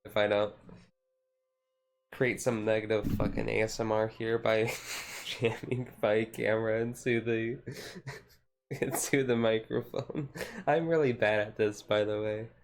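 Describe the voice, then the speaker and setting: A man chatting casually on a chill livestream, rambling and halting as he goes. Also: on the low side, steady tone. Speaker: streamer.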